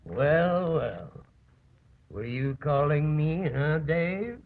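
A maudlin, drunken older father in a vintage radio play. His slurred speech drags along slowly and swings widely in pitch. The old broadcast sounds thin and narrow. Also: lively intonation, noisy background, exaggerated voice. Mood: tipsy, sentimental. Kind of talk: 1950s radio drama acting.

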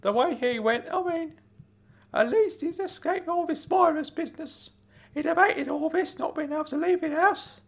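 A man voicing a kindly, fussy older uncle in an audiobook story, earnest and excitable. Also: sits high, moderate pace, voice pushed up. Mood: wistful, fond. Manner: excitable, earnest, swooping delivery. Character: kindly older uncle, fussy, caring, fond of dad jokes.

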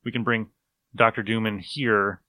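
A man co-hosting a casual review podcast, explaining in a geeky, animated way and repeating himself for emphasis. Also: fairly low pitch, unhurried, no echo. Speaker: podcast co-host. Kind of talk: casual review chat about old radio episodes.

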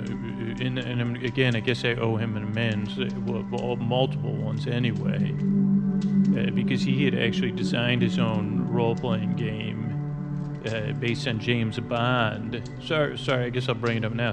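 A middle-aged man hosting a podcast, delivering a rambling, whimsical, hesitant monologue that keeps interrupting and apologizing for itself, with sound-design effects. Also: medium pitch. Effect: sound-design effects over the episode. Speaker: podcast host. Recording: dull lo-fi sound under loud music. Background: loud music.

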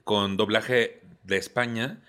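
A man's voice: lowish voice, steady tone, slow pace, a bit bright. A man from Mexico with a Mexican accent, chatting casually and teasingly as a podcast host. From Tampico, Mexico. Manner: joking, teasing. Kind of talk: casual chat.

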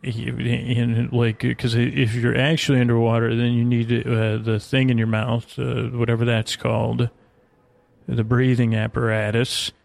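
Creaky-voiced man rambling as a sleep podcaster. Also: mid-range voice, little pitch movement, darkish tone, no echo. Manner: meandering, halting, stammering, digressive. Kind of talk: rambling bedtime monologue.

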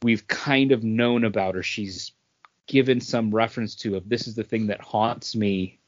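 A man's voice: on the low side, fairly even intonation, normal speed, no echo. A man co-hosting a podcast, giving his take on films in casual conversation. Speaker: podcast co-host. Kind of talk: casual movie review chat. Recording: dull, lo-fi, dry close sound.

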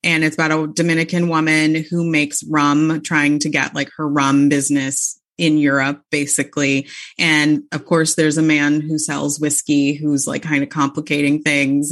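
A woman's voice: sits low, a bit bright. A woman author and bookseller chatting as an interview guest, enthusiastic and full of fillers. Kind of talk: casual interview chat.

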